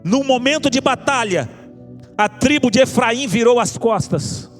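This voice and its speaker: High-pitched Brazilian male preacher over music. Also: expressive, unhurried. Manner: impassioned, exhorting, rhetorical repetition. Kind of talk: evangelical sermon with altar appeal. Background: music under the preaching.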